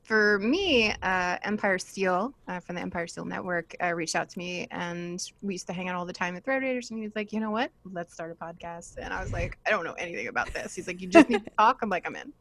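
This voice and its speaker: A woman's voice: on the low side, lively intonation, fairly quick, gravelly, few pauses, fairly bright tone. A woman chatting casually as a podcast host on a panel, informal and giggly.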